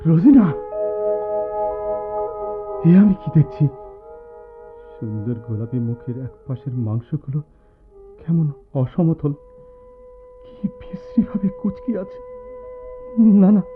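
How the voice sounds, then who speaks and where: Casting: devoted, pleading young lover, swinging dramatic intonation, halting stammers, music under an old radio-play recording. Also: male voice, on the high side, huge pitch swings, narrow, tinny audio, heavily acted. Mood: shocked, urgent. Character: distraught, pleading young man, devoted to a suffering woman. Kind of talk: Bengali radio drama acting, theatrical.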